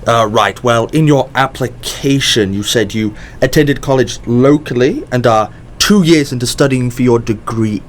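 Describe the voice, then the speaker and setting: A man's voice: mid-range voice, normal speed, hardly any pauses, slight background noise, lightly acted. An actor in an audio drama playing a mysterious, encouraging male company boss who is polished, effusive and probing. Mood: welcoming.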